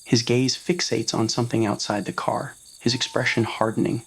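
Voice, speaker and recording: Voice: medium pitch, flat delivery, normal speed, breathy edge. A narrator reading a scripted romantic roleplay story in the second person, voicing the scene as well as the dialogue. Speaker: narrator of fan roleplay audio stories.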